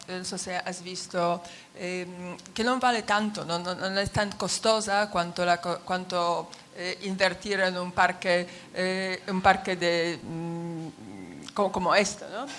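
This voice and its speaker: Woman, non-native speaker, low-ish voice, halting and searching for words, faint room noise. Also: a bit bright. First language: not Spanish. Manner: searching for words, many fillers. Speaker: audience member asking a question. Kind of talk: conference Q&A.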